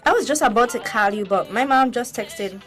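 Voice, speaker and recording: A sassy teenage girl played by an actress in a radio drama, fed up and exasperated. Her voice is fairly high, with faint music behind it. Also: brisk, natural voice. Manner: colloquial, exasperated. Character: fed-up, sassy sixteen-year-old pageant girl.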